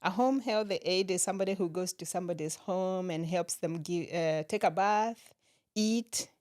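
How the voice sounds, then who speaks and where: A woman's voice: lowish voice, moderate pace, darkish tone, no room sound, studio quality. Kenyan-born woman telling stories. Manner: laughs often while telling stories. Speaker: nurse practitioner, guest. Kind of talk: relaxed interview conversation.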